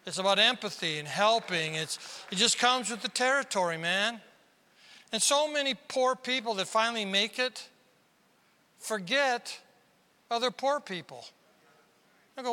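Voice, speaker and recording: A man from South Dakota preaching a sermon as pastor, with a squeaky tenor voice and emphatic delivery that climbs into sudden loud bursts. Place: large church hall. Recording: reverberant hall.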